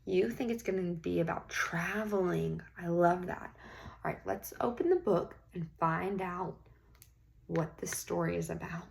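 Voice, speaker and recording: An encouraging woman teacher reads a storybook to a young class. Her voice sits a little low with a noticeable creak, and she takes her time, drawing out key words and pausing to put questions to the children. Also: a little hiss or hum. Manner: encouraging, praising pupils. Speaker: teacher reading a picture book aloud to young children. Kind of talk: interactive classroom read-aloud with questions and praise.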